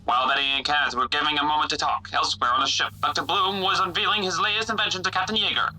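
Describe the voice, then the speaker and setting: A man's voice: on the high side, steady tone, rapid-fire, pushed and bright, slight background noise, rough recording. A man narrating a scripted sci-fi audio serial as a punchy announcer. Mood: urgent, announcing.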